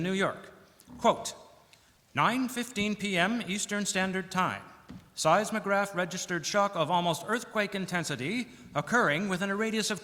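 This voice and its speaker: High-voiced earnest radio reporter, played by a man, hall reverb. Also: bright, forceful tone. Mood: formal. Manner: formal announcer phrasing, pointed questions. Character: earnest, inquisitive male 1930s radio news reporter. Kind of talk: 1930s radio news acting. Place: church hall stage, live performance.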